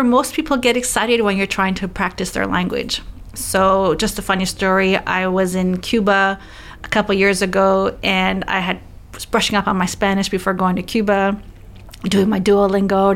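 A woman's voice: mid-range voice, little pitch movement, normal speed, fairly bright tone. A woman guest in a casual interview, encouraging and telling stories.

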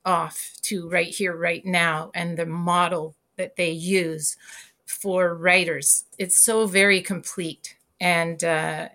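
A woman writer speaks as an interview guest in a somewhat low voice. She talks haltingly, restarting phrases as she hunts for the right word, and laughs easily between thoughts. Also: fairly bright tone. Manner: halting and searching for words, laughs often. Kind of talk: casual interview chat.

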